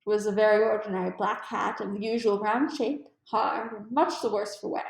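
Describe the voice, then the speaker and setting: A woman's voice: medium pitch, fairly even intonation, brisk, creaky, reverberant, rough recording. A woman reading a classic story aloud as scripted narration.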